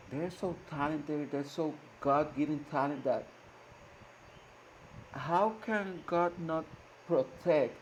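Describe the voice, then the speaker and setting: A man's voice: fairly high pitch, a little hiss or hum, rough recording. A man hosting a reaction video, talking earnestly and hesitantly, searching for words with many fillers and restarts. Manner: earnest, admiring. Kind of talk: casual reaction commentary.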